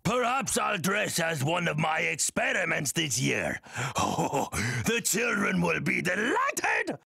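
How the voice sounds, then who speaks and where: Casting: eccentric fantasy oddball, theatrical, very gravelly, sharp and swooping. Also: male voice, fairly high pitch, huge pitch swings, slow pace, no room sound, clean studio sound, exaggerated voice. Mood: gleeful. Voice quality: gravelly, rough.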